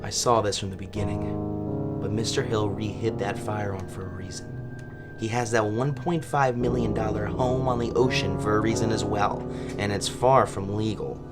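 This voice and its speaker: An actor playing a chatty, wry true-crime podcast host, telling a story in a theatrical, folksy way. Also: male voice, lowish voice, fairly even intonation, normal speed, over loud music, natural voice. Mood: wry, dramatic.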